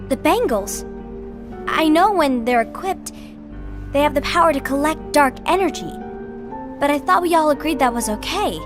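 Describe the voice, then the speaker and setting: A woman's voice: high-pitched, animated, moderate pace, pauses often, over music. A woman playing a sweet, anxious young girl in a video game cutscene, timid and worried.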